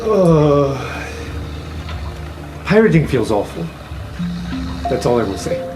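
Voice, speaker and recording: Slow-talking man player, halting, over music. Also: on the high side, sing-song, low-quality recording. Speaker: tabletop game player. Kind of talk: casual improvised roleplay chat. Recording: music bed behind voice. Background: background music.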